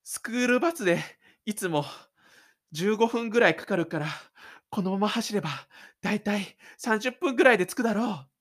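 A man plays a stubborn high school boy in a radio drama, his voice lifted above a grown narrator's. He exclaims his private thoughts between breaths, sounding like a shy loner who has bolted from class. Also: brisk, a bit bright. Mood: determined, breathless. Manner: breathless, exclaiming inner monologue.

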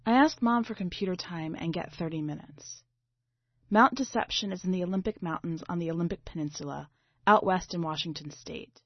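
A woman reading a literary short story aloud in a measured, dramatic way. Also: fairly low pitch. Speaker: story reader. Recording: slightly dull, boxy roomy recording.

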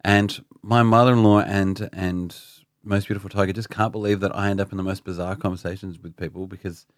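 A man's voice: deep voice, fairly even intonation, brisk, no room sound, studio quality. A man with an Australian accent co-hosting a podcast in casual chat, joking and self-mocking. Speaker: podcast co-host.

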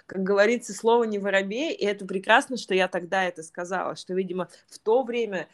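Woman yoga teacher musing slowly, lots of um and restarts, medium pitch. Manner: thoughtful, many fillers and restarts. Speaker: clinical psychologist by training. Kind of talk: reflective interview conversation.

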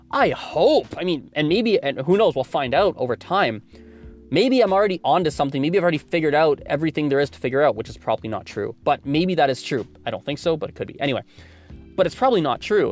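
A man in his twenties from Canada, hosting a solo podcast and thinking aloud, rambling with many restarts. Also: on the high side. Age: 20s. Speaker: podcast host.

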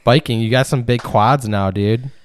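A man's voice: medium pitch, normal speed, clear, resonant tone. A young man co-hosting a casual podcast, rambling and teasing, with frequent restarts. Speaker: podcast co-host. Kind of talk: crude casual chat.

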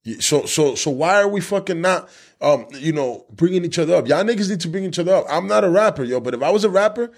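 A man's voice: high voice, animated, fast. A man in his thirties hosting a podcast, talking in loose, swearing street slang with many restarts. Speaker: podcast host. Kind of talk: casual chat.

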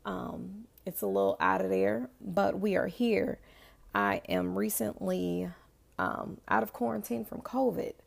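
Hoarse, gravelly-voiced woman podcast host. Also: medium pitch, sing-song, measured pace. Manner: chatty, emphatic, frequent "right?" and "um". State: hoarse, raspy voice after illness. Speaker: podcast host, confidence coach. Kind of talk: casual podcast monologue.

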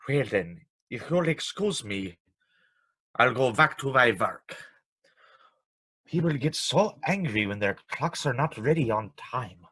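An actor playing a sweet, chatty, genial old clockmaker in a vintage-style radio play. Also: male voice, medium pitch, expressive, fairly bright tone, husky. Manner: genial, chuckling, deliberate shopkeeper politeness. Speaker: actor in live radio theatre.

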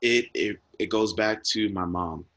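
A man with a fairly low voice speaks earnestly and reflectively, close to tears at times. His speech comes through dry and close, with no room sound. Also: unhurried, fairly bright tone, no echo. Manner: earnest, reflective, repeats words when moved. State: emotional, near tears. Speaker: guest speaker. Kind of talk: emotional personal storytelling.

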